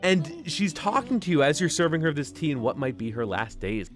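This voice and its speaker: A man's voice: on the high side, lively intonation, brisk. A man reviewing a video game in a semi-scripted voice-over, speaking in a casual, conversational and enthusiastic way. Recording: music under the voice.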